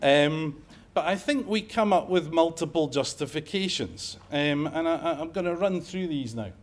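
A middle-aged Scottish man lecturing at a conference in a fairly high voice. He talks in a wry, conversational way and slips jokes into his points. A lapel mic picks him up in a lecture room. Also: lively intonation, normal speed. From Scotland. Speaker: emergency medicine professor giving a lecture. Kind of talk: conference talk.

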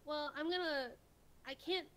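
A woman's voice: sits high, unhurried, fairly bright tone. A young woman in casual chat as a participant in a quiz stream.

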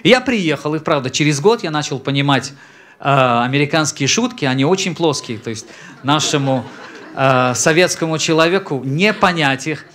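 A man in his thirties from Central Asia, a native Tajik speaker, giving a personal testimony to a congregation on a church stage into a handheld microphone, unhurried, with hesitations and throat clearing. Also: a little high, lively intonation, fairly bright tone. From Samarkand, Central Asia. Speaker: messianic rabbi, guest speaker.

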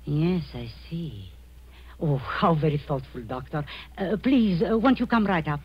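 A woman's voice: low voice, expressive, measured pace, noisy background. An actress playing a ruthless, imperious middle-aged woman spy posing as a kindly aunt, in theatrical 1940s radio style. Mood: falsely gracious. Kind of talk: 1940s radio suspense acting. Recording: muffled 1940s radio recording.

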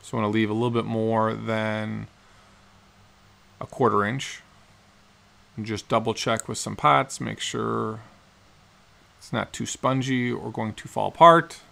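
A man in his forties, a guitar builder, calmly explaining each step of his work in a matter-of-fact how-to narration in his workshop. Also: lowish voice, measured pace, creaky, long pauses. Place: cluttered workshop indoors.

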